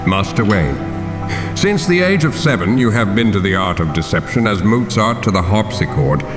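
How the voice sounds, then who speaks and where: A male actor as an older butler and confidant with a low, expressive voice. He is dry and principled, measured in his reproach, with a roomy sound and music behind him. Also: natural voice. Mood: wry reproach. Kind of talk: audio drama dialogue.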